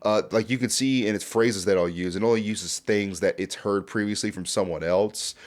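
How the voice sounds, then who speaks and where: A man's voice: fairly low pitch, normal speed. A man hosting casual video commentary in a conversational, enthusiastic and speculative way. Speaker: horror series commentator and host.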